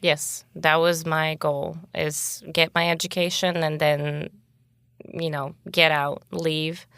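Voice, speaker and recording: A young woman from Bulgaria, Bulgarian her first language, speaks low with a gravelly edge. Her voice stays smooth and full as she tells her story candidly. The recording is close and dry. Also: level tone, slow pace, a bit bright, no echo. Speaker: guest. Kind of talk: casual interview talk.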